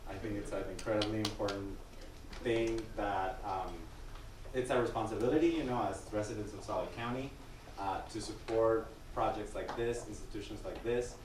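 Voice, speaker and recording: A man from Mexico moderating a public museum panel, earnest, with a voice he calls loud. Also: on the low side, normal speed. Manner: says he has a loud voice; earnest. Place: museum gallery. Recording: echoey room, distant mic, noisy.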